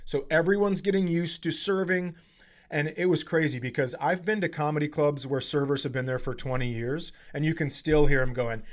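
A man hosting a comedy podcast, telling casual, profane stories in an animated way and acting out the quotes. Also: on the high side, moderate pace. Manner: animated, profane, acts out quotes in his anecdotes.

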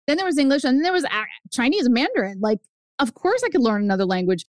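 A woman in her fifties whose first language is Spanish, telling her family story as a guest author, animated and jumping up in pitch for emphasis. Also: fast, no echo, clean studio sound. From United States. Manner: animated, swings up high for emphasis. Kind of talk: personal storytelling in an interview.

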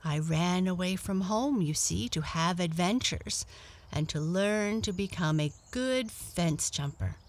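Woman voicing a polite, lively storybook cat. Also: fairly low pitch, measured pace. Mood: earnest, kindly. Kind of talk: children's storybook character voice.

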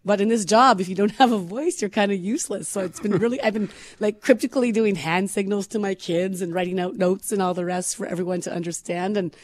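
Woman radio host w a scratchy hoarse voice, chatty, laughs a lot, dry studio sound. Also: mid-range voice, quick pace, few pauses, no room sound. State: hoarse, losing her voice. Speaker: radio morning show co-host. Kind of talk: casual on-air chat. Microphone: studio microphone.

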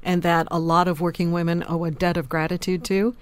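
A woman with a lower voice than average, speaking emphatically and articulately as a panelist on a radio talk show. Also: level tone, fairly quick. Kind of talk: opinionated panel discussion.

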